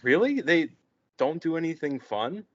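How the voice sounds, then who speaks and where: A man's voice: fairly high pitch, very animated, a little slow, a bit bright. A man reading a naive, sheltered young man at a play table read, hesitant and stammering. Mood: earnest. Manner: hesitant, stammering, wide-eyed. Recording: echoey room, muffled lo-fi.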